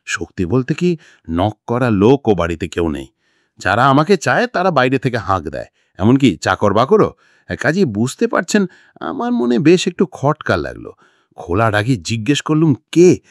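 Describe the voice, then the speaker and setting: A man's voice: on the low side, no room sound, studio quality. A man voicing a nervous, talkative secretary in an audiobook, telling his tale in a wordy, dramatic, pleading way. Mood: uneasy. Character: nervous, talkative male secretary recounting a frightening night visit. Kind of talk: audiobook character dialogue.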